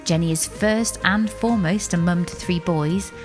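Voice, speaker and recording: A woman co-hosting a podcast in a studio, reading a guest introduction and chatting briskly. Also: lowish voice, soft background music. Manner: brisk, reads the guest bio then chats. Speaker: podcast co-host.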